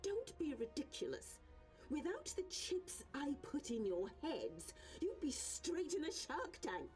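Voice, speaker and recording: Haughty evil genius lady for a video game, highish voice, drags words out slow, super scornful. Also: huge pitch swings, darkish tone, faint music behind, full character voice. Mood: impatient, scornful. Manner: haughty, theatrical, drawling for emphasis. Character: imperious, scornful female villain genius, haughty and impatient. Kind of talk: theatrical cutscene acting.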